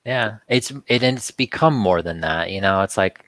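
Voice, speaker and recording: A man chatting casually as a talk show guest, halting and often repeating words as he restarts his sentences. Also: sits low, fairly bright tone, no room sound. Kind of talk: casual rambling chat.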